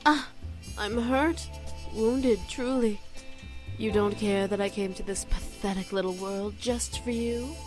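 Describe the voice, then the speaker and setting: A woman's voice: medium pitch, measured pace, a little mellow, close to an everyday voice. A woman performs a scorned demon noblewoman from a visual novel game. She is imperious and theatrical, with a mocking edge in a mid-range voice. Music plays behind her. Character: haughty female demon noble, scorned fiancée and would-be queen.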